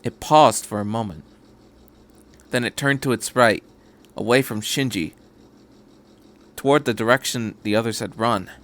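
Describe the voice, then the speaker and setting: A man's voice: mid-range voice, a little slow, long pauses, fairly bright tone. A man reading a story aloud as a podcast host, in scripted narration. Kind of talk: scripted narration of fan fiction.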